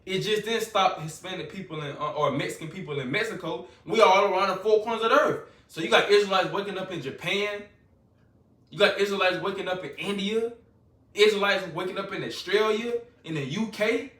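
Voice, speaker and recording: Man, preacher, fervent, repetitive, bright edgy voice, distant mic. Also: high voice, fairly quick. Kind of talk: scripture-reading sermon. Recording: echoey room, voice from a distance.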